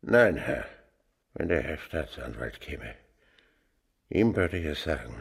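An actor playing a stubborn one-eyed peasant prisoner in a radio drama, curt and defiant. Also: male voice, rumbling low, sing-song, slow pace, gravelly, breathy edge, exaggerated voice.

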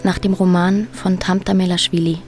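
A woman announcer reading scripted radio drama credits in a measured, plain way. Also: on the low side, level tone. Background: sad violin music.

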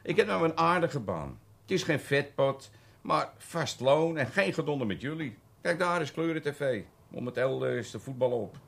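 An actor playing a cagey, boastful small-time ex-burglar in a Dutch radio drama, evasive, colloquial and grudgingly deferential. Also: male voice, on the high side, expressive, normal speed, vocal fry, a bit bright, heavily acted. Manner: evasive, colloquial, hesitant, self-justifying.

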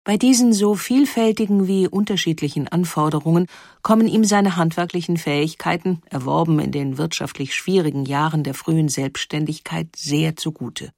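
A woman's voice: deep voice, normal speed, creaky, darkish tone, dry recording. Composed female narrator, dry sound. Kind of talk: scripted documentary narration.

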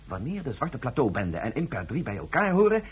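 An actor playing an insistent scientist in a science-fiction radio drama, reasoning emphatically step by step. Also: male voice, medium pitch, huge pitch swings, fairly quick, breathy edge, heavily acted. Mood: urgent, reasoning. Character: insistent, reasoning male scientist and father figure.

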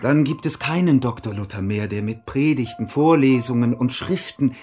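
An actor in a German radio play playing an earnest, worried monk, a loyal companion who speaks gravely. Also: male voice, medium pitch, moderate pace, a little mellow, faint music behind, lightly acted.

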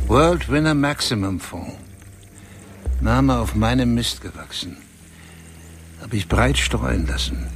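An actor playing a cold, cynical investment banker in a radio play, speaking in a matter-of-fact, sardonic way. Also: male voice, mid-range voice.

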